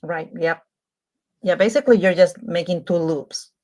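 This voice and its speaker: A woman's voice: lowish voice, normal speed, dark tone. A woman co-hosting a live beading class, chiming in with short encouraging remarks. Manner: brief encouraging interjections. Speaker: co-host and pattern designer. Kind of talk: casual live tutorial.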